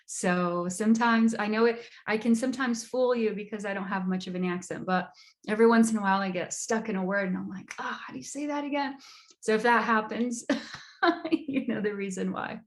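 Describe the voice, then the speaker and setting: A woman from Brazil giving an online astrology lecture, measured and emphatic, repeating words for stress. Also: mid-range voice.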